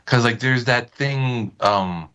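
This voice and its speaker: A man's voice: mid-range voice, takes it slowly, fairly bright tone, clean tone. A man as a podcast guest, explaining things in casual, loosely phrased chat with tentative wording.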